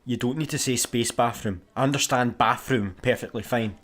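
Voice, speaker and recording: An actor with a Scottish accent playing a grumpy, sarcastic space busker in a radio sitcom. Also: male voice, medium pitch, moderate pace, close to an everyday voice. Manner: irritable, deadpan sarcasm.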